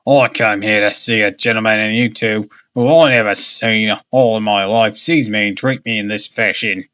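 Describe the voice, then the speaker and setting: A man voicing a very old, stubborn seafaring man who grumbles indignantly in rough, uneducated speech, as in a storybook reading. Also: on the low side, fairly even intonation, moderate pace, bright, forceful tone, muffled recording.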